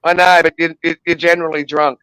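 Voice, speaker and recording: A man's voice: a little high, flat delivery, quick pace, a bit bright, smooth, no echo, rough recording. A man in heated casual chat, indignant and complaining at length, swearing often.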